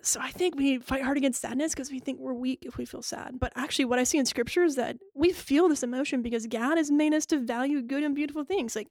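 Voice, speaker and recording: Young woman with a gravelly, higher voice. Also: fast talker, few pauses, no room sound, studio quality. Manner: warm, laughing, explains step by step. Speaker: podcast co-host. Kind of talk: casual faith podcast teaching.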